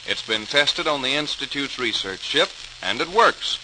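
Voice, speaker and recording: A man's voice: mid-range voice, lively intonation, normal speed, close to an everyday voice. Man playing an assured scientist on crackly old radio. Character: assured, precise male research physicist addressing officials. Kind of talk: 1940s radio acting. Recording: hissy, crackling 1940s radio recording.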